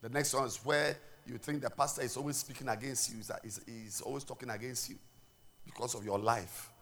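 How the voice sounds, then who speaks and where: A man with a Ghanaian accent preaching a sermon as pastor, swinging between loud declarations and quiet asides and repeating words as he restarts. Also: medium pitch, steady tone, brisk.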